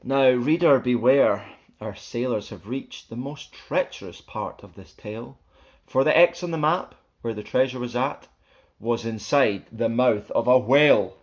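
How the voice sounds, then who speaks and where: A man's voice: mid-range voice, unhurried. A man reading a rhyming children's picture book aloud as a storyteller, in a playful, theatrical, sing-song way.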